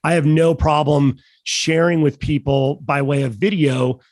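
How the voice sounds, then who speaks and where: A man with a croaky voice talking on a sales panel in a relaxed, measured way, with plenty of fillers. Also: a little high, dry recording, studio quality. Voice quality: croaky, gravelly. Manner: measured, folksy, with many fillers. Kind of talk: casual panel discussion.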